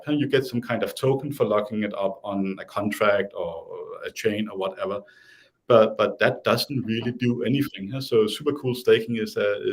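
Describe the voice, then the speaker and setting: A man with a Danish accent, talking casually as an interview guest, hesitant with many restarts and fillers. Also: on the low side.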